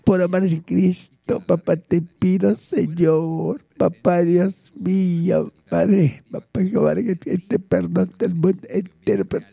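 Dark, husky Peruvian man in fervent prayer. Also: sits high, fairly even intonation, takes it slowly, hardly any pauses, dry recording. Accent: Peruvian Spanish. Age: older. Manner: pleading, repetitive short phrases with frequent invocations.

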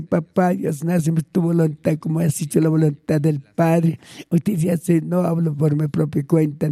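An older man with a Peruvian Spanish accent and a husky, gravelly voice, praying off the cuff in short, pleading, repeated phrases. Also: on the high side, warm tone, dry recording, studio quality. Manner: pleading, repetitive short phrases with frequent invocations. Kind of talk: extemporaneous fervent prayer.

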